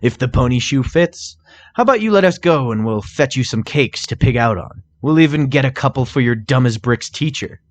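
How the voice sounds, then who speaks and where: A man's voice: mid-range voice, animated, normal speed, lightly acted. A man voicing a smug male pony thief, arrogant and mocking, in a storybook reading. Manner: sneering, arrogant.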